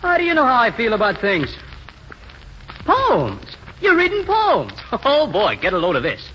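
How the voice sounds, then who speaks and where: Cocky young football type, high voice that swings around a lot, muffled old radio drama. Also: male voice, huge pitch swings, a little slow, pushed and bright, heavily acted. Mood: cocky, teasing. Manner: brash, slangy, teasing. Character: cocky, boastful young ex-football player working a gas pump. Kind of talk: 1940s radio drama acting. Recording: muffled, hissy 1940s radio recording.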